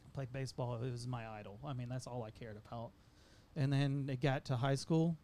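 A man's voice: mid-range voice, steady tone, a little fast, mellow. A man casually sharing his faith story, candid and self-deprecating, with a slight stutter. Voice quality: mentions a stutter. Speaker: guest sharing testimony. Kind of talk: casual chat.

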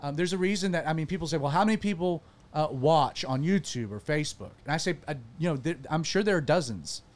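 Animated man hosting a church podcast. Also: fairly high pitch, fast. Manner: animated, self-deprecating, frequent restarts. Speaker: pastor and podcast host. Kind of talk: casual panel chat.

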